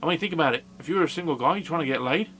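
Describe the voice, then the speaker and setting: A man's voice: fairly high pitch, very fast, a bit bright, soft background music. A man narrating a scripted comedic film review in a sarcastic, sweary manner. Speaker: film review video host.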